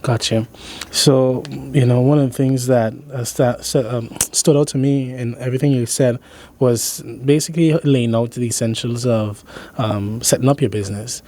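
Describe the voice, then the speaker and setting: A man's voice: medium pitch, steady tone, normal speed, mellow. A man hosting an interview, asking questions hesitantly with fillers and restarts. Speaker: interview host.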